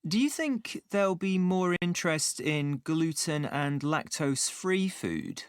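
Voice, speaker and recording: A man playing an inquisitive student in a scripted, conversational discussion, with clear phrasing. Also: on the high side, lively intonation, measured pace, dry recording, studio quality. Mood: earnest. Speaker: voice actor in a listening exam dialogue.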